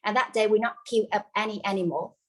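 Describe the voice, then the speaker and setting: A woman's voice: mid-range voice, fast, fairly bright tone. A woman from central Vietnam, a native Vietnamese speaker with a central Vietnamese accent, teaching a cooking class informally in simple non-native English with dropped words. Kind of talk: informal live cooking instruction.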